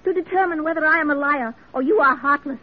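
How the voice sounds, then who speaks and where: A woman's voice: high-pitched, quick pace, narrow, tinny audio, close to an everyday voice. An actress playing an earnest, unyielding woman crusader in an old radio drama, formal, impassioned and blunt. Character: earnest, unyielding female crusader for the mentally ill. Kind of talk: classic American radio drama acting.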